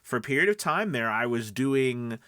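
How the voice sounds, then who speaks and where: Middle aged guy doing a casual coaching podcast, rambles and repeats himself, normal pitch, dry no-echo sound. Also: lively intonation, moderate pace, pushed and bright. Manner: rambling, conversational, often repeating and rephrasing. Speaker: host of a voice-over coaching video podcast. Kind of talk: casual instructional vlog talk.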